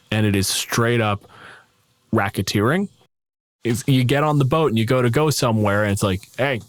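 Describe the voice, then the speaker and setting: A man's voice: lowish voice, no echo. A man hosting a podcast, chatting about tabletop gaming in an informal, wry way. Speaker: podcast host.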